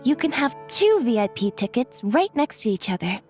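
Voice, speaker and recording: A woman's voice: on the high side, very animated, moderate pace. A woman voicing an upbeat young stage magician who coaxes and persuades cheerfully. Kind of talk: video game voice acting. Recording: soft game music behind.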